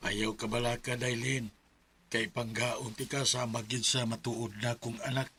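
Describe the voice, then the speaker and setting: A man's voice: mid-range voice, little pitch movement, unhurried, sharp tone, slight background noise. A man playing a coaxing, generous middle-aged stepfather in a radio drama, with a Filipino accent. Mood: affectionate.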